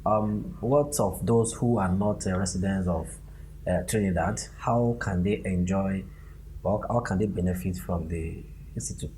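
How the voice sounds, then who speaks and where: A man's voice: deep voice, unhurried, mellow, slight background noise, rough recording. A man interviewing a guest, asking polite, somewhat hesitant questions.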